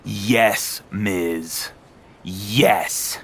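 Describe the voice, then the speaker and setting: A man's voice: fairly low pitch, extremely slow, a bit bright, slightly rough, heavily acted. A man playing a cocky, cool-headed wrestling champion in a game cutscene, with a dry, cutting manner.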